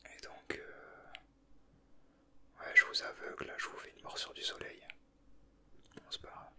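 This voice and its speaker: A man whispers very close to the mic in an extremely bright, breathy hiss. He talks in casual ASMR style, slipping in teasing, slangy asides as he comments. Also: a little slow. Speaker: ASMR video creator.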